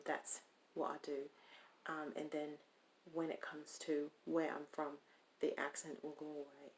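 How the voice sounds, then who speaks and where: A low-voiced woman with a gravelly, creaky edge, chatting casually to her viewers in an accent she is putting on on purpose. She rambles and hesitates, pausing often as she goes. A faint hiss of noise sits under her voice. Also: low-quality recording. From Stockton, California. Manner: speaking in a deliberately put-on foreign-sounding accent; rambling, hesitant, with many pauses. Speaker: vlogger. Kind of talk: casual video chat.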